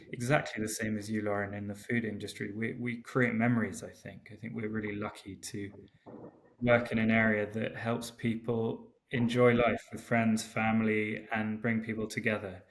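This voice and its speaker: A man from Portugal talks casually on a remote call. He is relaxed and hesitant, with frequent ums and false starts. A faint hiss sits under his voice. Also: lowish voice, gravelly. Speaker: guest winemaker. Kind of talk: casual interview chat. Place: home in Porto, remote call.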